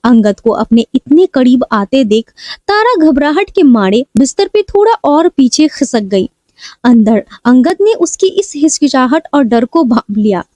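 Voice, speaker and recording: A woman's voice: high voice, big pitch swings, a little slow, mellow, dry recording. A woman storyteller reading a scripted, dramatic story.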